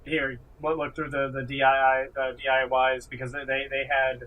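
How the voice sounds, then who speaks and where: A man with a mid-range voice co-hosts a casual gaming podcast. He speaks haltingly, often doubling back on words before he finishes a thought. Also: medium pitch, completely flat delivery, bright, forceful tone, rough recording. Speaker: podcast co-host.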